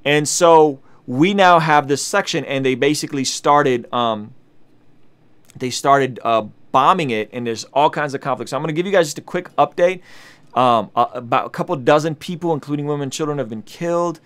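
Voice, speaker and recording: A man's voice: fairly high pitch, moderate pace, clear, resonant tone. A man in his thirties, from Azerbaijan and a native Russian speaker, talking earnestly to camera in a small studio and reading news aloud. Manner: earnest, animated, many restarts and fillers. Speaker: video host. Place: small indoor studio with colored lights.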